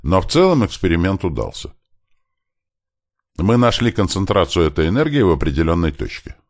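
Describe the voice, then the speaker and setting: A man's voice: low voice, very animated, a little fast, no echo, clean studio sound, pitched above the performer's own voice. A man voicing an earnest male professor in an audiobook, explaining things politely and with emphasis. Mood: earnest, emphatic.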